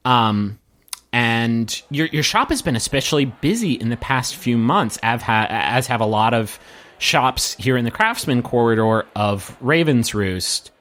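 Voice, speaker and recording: Man narrating as a halting game master. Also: mid-range voice, expressive, a bit bright. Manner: halting, searching for words, addressing the player directly. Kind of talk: improvised tabletop game narration.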